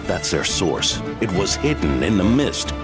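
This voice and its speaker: A man's voice: sits low, big pitch swings, a little slow, loud music behind the voice. A man voicing a formal, earnest soldier in a video game. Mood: urgent. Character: earnest, formal male soldier of a watch order.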